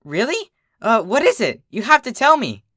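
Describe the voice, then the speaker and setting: A story reader voicing an excitable female pony princess who is a scientist and quick to scold. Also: medium pitch, echoey room, pitched above the performer's own voice. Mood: excited.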